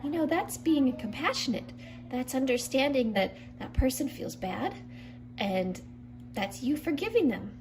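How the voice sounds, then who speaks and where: A woman voicing a calm, sensible puppet friend in a children's skit, speaking gently and patiently as a counsellor. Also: high voice, unhurried, soft background music. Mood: gently reasoning.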